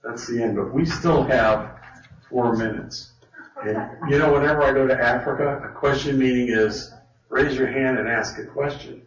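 A man teaches a Bible study in a conversational way, thinking out loud and restarting sentences as he goes. His voice sits at an ordinary middle pitch and is caught by a distant mic in an echoey hall. The sound is a little dull. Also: moderate pace. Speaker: Bible study speaker leading a question session. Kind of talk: informal teaching talk. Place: meeting hall with an audience.

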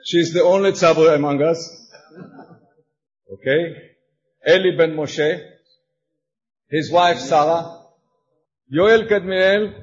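A man from Austria presenting his congregation to an audience, hesitant and searching for words, a little excited. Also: fairly high pitch, slow, long pauses, dull audio. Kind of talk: halting presentation to an audience with slides.

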